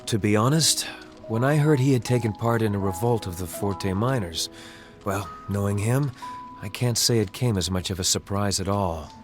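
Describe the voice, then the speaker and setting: An actor playing a calm, sincere young prince in a game cutscene, speaking in a measured, formal, soft-spoken way. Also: male voice, on the low side, lightly acted. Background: game soundtrack music.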